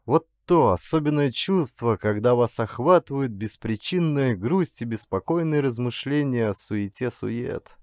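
A man reading literary prose as an audiobook narrator, in an expressive, theatrical manner. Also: medium pitch, measured pace, dry recording, studio quality.

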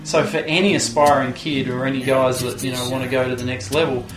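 A man's voice: medium pitch, flat delivery, a little fast. Laid-back Australian man in his twenties. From Tasmania, Australia. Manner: laid-back, musing, loose storytelling. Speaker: pro vert inline skater, interviewee. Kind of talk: sit-down profile interview. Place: indoor room with window blinds. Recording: roomy sound with music underneath. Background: music.

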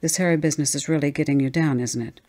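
A woman's voice: low-pitched, quick pace, a little mellow, close to an everyday voice. A woman voicing an easygoing, practical husband in a story, in a breezy, dismissive, reasoning manner.

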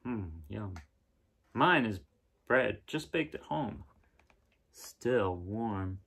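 A man in his thirties reading a picture book aloud in a casual, unhurried way with pauses between phrases. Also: on the low side, lively intonation, gravelly, fairly bright tone, slightly rough. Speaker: reader of children's picture books. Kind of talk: gentle read-aloud storytelling and casual chat. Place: small living room indoors, seen on camera.

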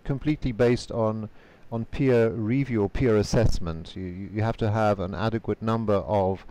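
Polish-native male interpreter guest, low voice, measured answers, video call. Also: fairly even intonation, warm tone. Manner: measured, careful, frequent hesitation fillers.

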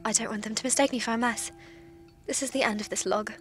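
A woman's voice: fairly high pitch, steady tone, fast talker, soft background music, close to an everyday voice. A woman playing a lonely, forgetful undead woman recording hesitant, intense logs in an audio drama. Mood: weary. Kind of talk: audio drama log monologue.

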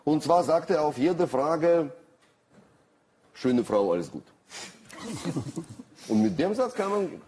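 A man's voice: fairly high pitch, expressive, slow pace, long pauses, mellow, slightly rough. A middle-aged man with a Russian accent, a guest writer on a talk show, speaking hesitantly with many fillers and dry humor. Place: TV studio with audience.

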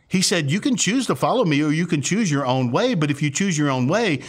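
Man in his 60s, pastor, conversational sermon, lively swooping pitch, slightly high voice, emphatic delivery. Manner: emphatic, rhetorical questions. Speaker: pastor teaching on parenting. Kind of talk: conversational sermon to camera with acted-out examples. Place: furnished living room, indoors.

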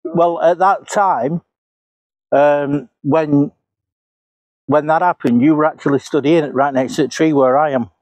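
A man's voice: on the high side, fairly even intonation, a little slow, pauses often, darkish tone, no echo, rough recording. A man, walking through woodland and retracing his steps aloud in a casual vlog. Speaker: paranormal investigator. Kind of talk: casual walk-and-talk vlog. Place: woodland outdoors. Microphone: clip-on lapel mic.

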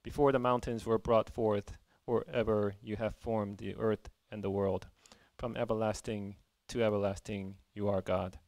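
A man welcoming a church service, reading a psalm and praying in a measured, reverent way. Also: lowish voice, little pitch movement, creaky, darkish tone, dry recording. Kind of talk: call to worship reading and prayer.

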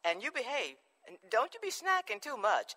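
A strict but caring mother in a radio drama. Her voice is fairly high and very animated, firm and motherly, with a reverberant ring. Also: moderate pace, heavily acted. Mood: firm, protective.

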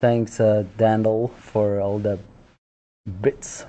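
A man in his thirties chatting casually on a live stream from a small office, with a naturally loud voice and relaxed chuckling asides, into a headset mic. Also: on the low side, takes it slowly. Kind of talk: casual chat while drawing on stream. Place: small office room, indoors. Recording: dull lo-fi stream audio.

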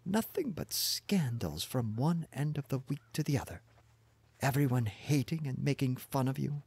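A man's voice: mid-range voice, animated, normal speed, gravelly, darkish tone, slightly rough, dry recording, voice pushed up, heavily acted. A man voicing a small, furious, scolding wife in an audiobook, wailing and reproachful. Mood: scolding, indignant.

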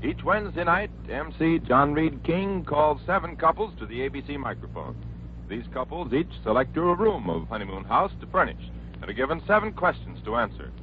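Animated vintage American radio announcer, male. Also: a little high, normal speed. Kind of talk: scripted 1940s radio promotional announcement. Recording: tinny, noisy 1940s radio recording.

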